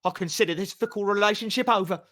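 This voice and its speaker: An audiobook reader voicing a vain, flamboyant male poet who talks in a raised, theatrical, excitable way. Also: mid-range voice, fast, voice pushed up, close to an everyday voice.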